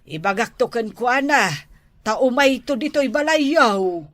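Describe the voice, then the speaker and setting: A woman's voice: a little high, lively intonation, takes it slowly, a bit bright, no echo. A woman acting an older, nagging village mother in an Ilocano comedy drama, with an Ilocano accent. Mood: resigned.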